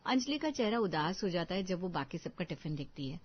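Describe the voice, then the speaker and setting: A woman narrating a scripted moral story for an animated video. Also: fairly low pitch, fairly quick, slightly rough, lo-fi sound.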